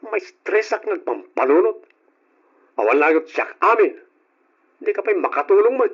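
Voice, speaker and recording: A defensive, jobless Ilocano husband who snaps short answers at his family, voiced by a man. The voice sits fairly high and moves lively in pitch. It comes through a muffled, lo-fi old radio recording. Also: normal speed, husky, exaggerated voice. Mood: curt. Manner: defensive, curt. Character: struggling jobless husband and brother. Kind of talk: old radio drama acting.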